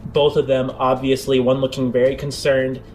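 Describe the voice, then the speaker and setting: A man's voice: mid-range voice, monotone, moderate pace, a little mellow, rough recording. Man narrating a tabletop game over music. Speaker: game master. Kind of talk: improvised narration of a tabletop role-playing game. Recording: music bed under the voice. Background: background music.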